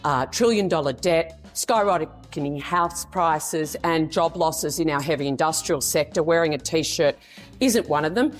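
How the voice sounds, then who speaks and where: A woman's voice: low-pitched, unhurried, faint music behind. A middle-aged woman with an Australian accent making public remarks as a politician.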